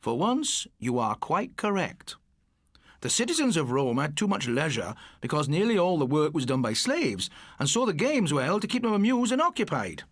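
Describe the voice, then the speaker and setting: A man as a sardonic, lively-pitched male schoolteacher. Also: moderate pace, no room sound, studio quality. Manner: sardonic, instructive. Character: dry, sarcastic male schoolteacher, strict and lecturing. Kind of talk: audiobook character voice.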